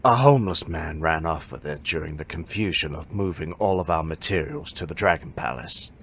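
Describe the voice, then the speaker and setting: A man playing an elderly martial arts master in an audio drama, formal and measured, musing as he speaks. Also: very deep voice, a bit bright, slight background noise. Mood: grave, explaining.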